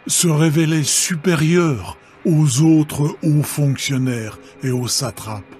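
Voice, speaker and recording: A man narrating a dramatised scripture reading in a measured, solemn manner. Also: medium pitch, a little mellow. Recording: background music.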